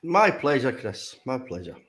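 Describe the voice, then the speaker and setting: An older man with a broad Glaswegian accent answers questions over a video call. He speaks at an ordinary pitch, stopping and restarting often, with plenty of ums along the way. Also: very animated, moderate pace, fairly bright tone. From Scotland. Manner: hesitant, many fillers and restarts. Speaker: guest, former footballer. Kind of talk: casual interview.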